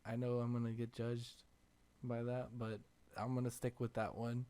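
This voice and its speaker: A man's voice: mid-range voice, completely flat delivery, moderate pace, mellow, smooth. A man hosting a podcast in a casual, conversational style, chatting and reading sponsor spots. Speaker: podcast host. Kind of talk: casual chat and ad reads.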